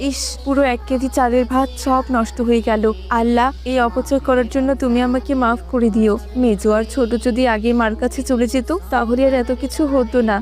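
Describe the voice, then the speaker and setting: A plaintive, overworked housewife in a family cartoon, acted by a woman. Her voice is fairly high as she frets dutifully, over faint music. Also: flat delivery, fairly quick, few pauses, a little mellow, natural voice. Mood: dismayed, regretful. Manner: plaintive, fretting. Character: dutiful, overworked eldest daughter-in-law, resigned and fretful. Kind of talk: Bengali family cartoon voice acting.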